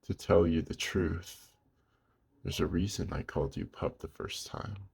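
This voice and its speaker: A man playing a gruff, lonely old werewolf in an intimate ASMR roleplay, weary but gentle, speaking slowly and hushed with a husky, gravelly voice. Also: low-pitched, heavily acted. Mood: sorrowful, tender. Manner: slow, hushed, with long pauses.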